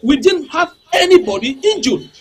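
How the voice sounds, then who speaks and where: A man's voice: very high-pitched, sing-song, normal speed, fairly bright tone. A man, not a native English speaker, arguing heatedly as a panel guest in a political debate, firing off rhetorical questions and repeating words.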